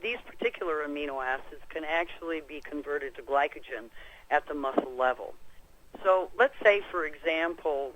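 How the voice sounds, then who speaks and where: A woman's voice: deep voice, a bit bright, slight background noise, rough recording. An American woman answering as an expert guest on a conference call, explaining things in a folksy, conversational way. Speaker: expert guest, clinic practitioner.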